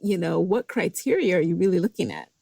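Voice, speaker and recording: A woman's voice: on the low side, big pitch swings, brisk, gravelly, warm tone, dry recording, clean studio sound. A woman hosting a podcast interview, asking questions and affirming her guest in a conversational way. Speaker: podcast host.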